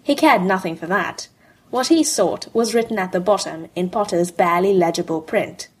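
A woman with a husky voice reading scripted fan fiction narration. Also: medium pitch, normal speed.

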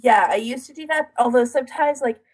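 A woman's voice: high-pitched, brisk, darkish tone, breathy edge. A woman co-hosting a casual podcast, chatting and explaining her routine in detail in an informal way. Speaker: podcast co-host.

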